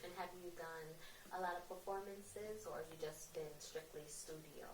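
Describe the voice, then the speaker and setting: A woman's voice: lowish voice, steady tone, moderate pace. A woman interviewer asking casual questions, upbeat and encouraging, laughing along with her guest. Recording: roomy, distant mic, noisy background.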